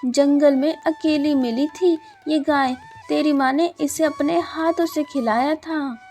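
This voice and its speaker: An elderly grandmother, acted out by a woman narrator, tender and easily moved, stammering in astonishment. Her voice sits high and moves slowly. Soft music plays faintly behind her in this cartoon story. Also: fairly even intonation. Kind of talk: Hindi cartoon storybook voice acting.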